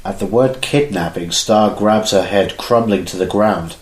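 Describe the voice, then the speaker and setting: A low male voice narrates a scripted fan audio drama. The room is echoey, and soft music sits behind him. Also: unhurried.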